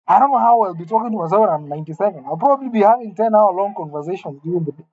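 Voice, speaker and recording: A man's voice: high voice, lively intonation, racing, darkish tone, no echo, muffled recording. A man in his late twenties musing aloud to the camera in a wry, rambling, self-mocking way, alone in an empty room. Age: late 20s. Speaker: vlogger. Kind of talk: musing solo monologue to camera.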